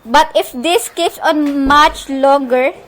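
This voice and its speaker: High-pitched, nervous, demanding invalid woman, acted out by a woman. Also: slow pace, fairly bright tone, rough recording. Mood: exasperated. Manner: imperious, complaining, exasperated. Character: nervous, demanding, irritable bedridden woman on the telephone. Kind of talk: amateur suspense radio play acting.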